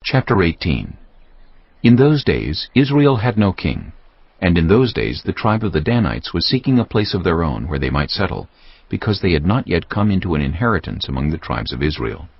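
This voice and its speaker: A man's voice: deep voice, very animated, moderate pace, gravelly, slightly rough, no echo, muffled recording. A man narrating scripture as a dramatised audiobook in a solemn storytelling manner. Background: music.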